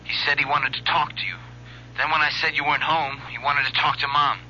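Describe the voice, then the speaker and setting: A young actor playing a professor's guilty, nervous son who hesitates and stammers in a radio drama. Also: male voice, on the high side, steady tone, fairly quick, pushed and bright, slight background noise. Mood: anxious. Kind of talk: 1970s radio drama acting. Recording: dull lo-fi old radio broadcast.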